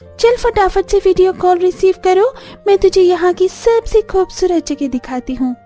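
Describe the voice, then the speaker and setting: A woman's voice: very high voice, moderate pace, warm tone, faint music behind, pitched above the performer's own voice. A woman voicing bubbly young women in a cartoon story, gushing and exclaiming. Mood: excited. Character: bubbly, boastful young newlywed village women on phone.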